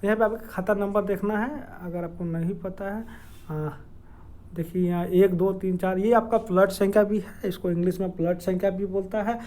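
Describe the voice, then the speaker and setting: A man with a Jharkhand accent giving an informal explainer in a halting, hesitant way, with many filled pauses and repeated words. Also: sits high, fairly even intonation, warm tone. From Dumka district, Jharkhand, India. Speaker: educational video creator. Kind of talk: informal explainer with screen walkthrough.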